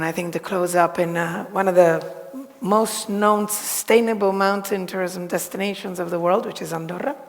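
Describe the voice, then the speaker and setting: A woman moderating a conference session in an informal, conversational way, asking interested questions. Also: medium pitch. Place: conference hall, on stage. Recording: echoey large hall sound.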